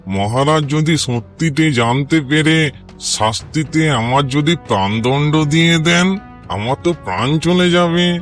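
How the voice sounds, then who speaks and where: A scheming, cowardly cartoon villager, acted by a man, has a high voice and a slow, drawn-out delivery. He pleads repetitively and whinily over background music. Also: fairly bright tone. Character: scheming, cowardly villager falsely claiming land. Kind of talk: cartoon folk tale acting.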